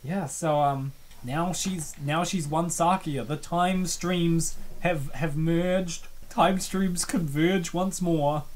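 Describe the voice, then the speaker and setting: A comic dub host giving casual, rambling commentary on a webcomic, with playful and excitable reactions. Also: mid-range voice, takes it slowly, hardly any pauses.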